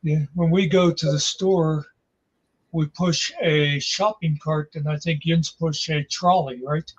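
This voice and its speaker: A man's voice: fairly high pitch, fairly even intonation, measured pace, husky. An American man joking wryly in casual panel banter, on a slightly dull, lo-fi mic. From United States. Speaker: talk show panelist.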